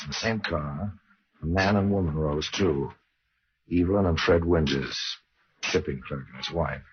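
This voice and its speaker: Wry, ominous mystery storyteller, extremely low-voiced, vintage radio drama, muffled old recording. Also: male voice, slightly rough, heavily acted. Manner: deliberate, sardonic, theatrical. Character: wry, ominous older male storyteller of true crimes. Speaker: narrator and host of a crime anthology.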